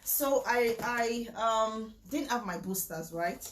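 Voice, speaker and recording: A woman, talking casually to the camera, mixing a sales pitch with preaching, emphatic and repetitive, with frequent laughter. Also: animated, takes it slowly, noisy background. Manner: chatty, emphatic, repeats herself, laughs often. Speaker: vlogger promoting supplements. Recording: echoey room.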